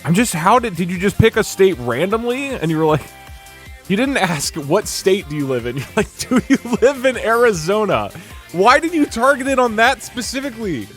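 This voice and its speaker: A man streaming from a green screen setup, chatting casually and rambling with lots of restarts while reacting to viewer comments. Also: high voice. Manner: rambling, animated, frequent restarts and fillers. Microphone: desk mic at a green screen setup.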